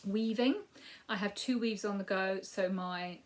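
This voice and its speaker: A woman in her fifties with a Yorkshire accent and a croaky voice, giving a rambling, casual crafting update with frequent ums. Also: mid-range voice, fairly even intonation, measured pace. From Yorkshire, England. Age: 50s. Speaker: crafting podcast host.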